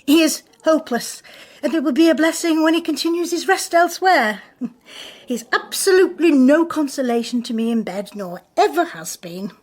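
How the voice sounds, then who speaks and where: An actress playing an amorous, pushy middle-aged married councillor in a radio drama, gushing and suggestive. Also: female voice, sits high, lively intonation, moderate pace. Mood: complaining, confiding.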